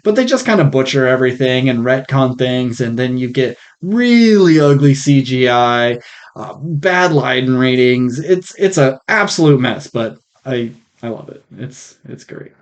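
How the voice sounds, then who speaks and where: A man co-hosting a casual film podcast, speaking in a blunt, opinionated way with casual swearing, recorded on a rough mic. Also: mid-range voice, lively intonation, a little slow. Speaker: podcast co-host.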